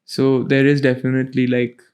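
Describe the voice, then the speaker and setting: A man's voice: medium pitch, completely flat delivery, normal speed, a little mellow. Rambling young man co-hosting a chat. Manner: rambling, restarts phrases, teasing. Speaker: podcast co-host. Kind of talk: casual chat.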